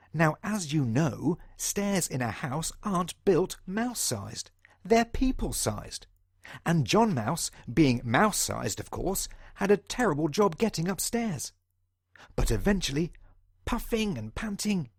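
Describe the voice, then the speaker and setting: A man's voice: a little high, gravelly, slightly rough, dry recording, clean studio sound. A British man reading a children's storybook in a theatrical way, as if speaking directly to young listeners.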